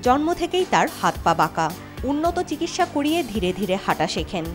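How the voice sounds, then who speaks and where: A woman with a Bangladeshi accent narrates a scripted news feature. Her voice is fairly high and moves with lively intonation over quiet background music. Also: moderate pace. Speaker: reporter narrator.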